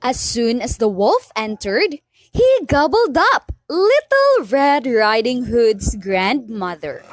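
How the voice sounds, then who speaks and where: A woman's voice: fairly high pitch, very animated, slow pace. A woman narrating a children's fairy tale from a script in a dramatic storybook style.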